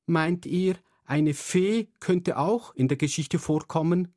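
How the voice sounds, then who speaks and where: A man's voice: on the high side, takes it slowly, a little mellow, pitched above the performer's own voice. A man voicing a dreamy young schoolgirl who loves fairy stories, reading in a slightly raised, pleading voice.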